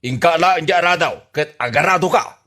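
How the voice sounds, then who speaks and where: A man's voice: on the high side, brisk, bright, forceful tone. A man playing a strict, blustery retired soldier father in an Ilocano radio comedy drama, with an Ilocano accent and a bossy, emphatic delivery. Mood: bossy, proud.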